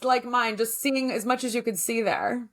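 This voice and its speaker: A woman's voice: fairly high pitch, moderate pace, a bit bright. Chatty upbeat woman podcast host. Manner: upbeat, chatty, frequent affirmations. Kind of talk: casual interview.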